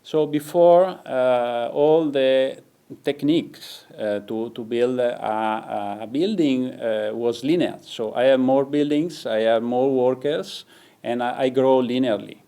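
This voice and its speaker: A man's voice: mid-range voice, slow, warm tone, clean tone. A man with an Italian accent, a company CEO answering interview questions with frequent hesitations and restarts. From Italy. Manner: hesitant, many fillers and restarts.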